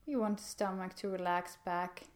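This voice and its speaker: A woman leading a guided meditation in a calm, gentle, unhurried manner with pauses. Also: lowish voice.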